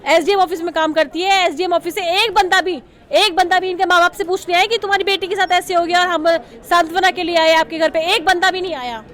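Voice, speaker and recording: Very high-pitched, insistent woman. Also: fairly even intonation, a little fast, hardly any pauses, a bit bright. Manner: emphatic, insistent. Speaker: protester interviewed. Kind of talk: impassioned street interview.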